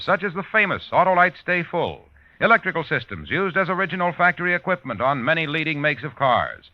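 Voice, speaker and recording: American male radio pitchman, 1950s style, animated intonation, dull vintage recording. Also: no echo. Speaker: radio announcer and commercial pitchman. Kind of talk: 1950s scripted radio announcing and advertising.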